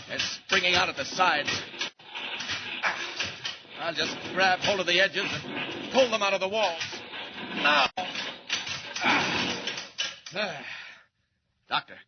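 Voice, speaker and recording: A man's voice: high-pitched, exaggerated voice. A man playing a mild-mannered reporter with a secret heroic side in a 1940s radio serial, often hesitant and flustered. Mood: determined. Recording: dull old radio transcription with hiss.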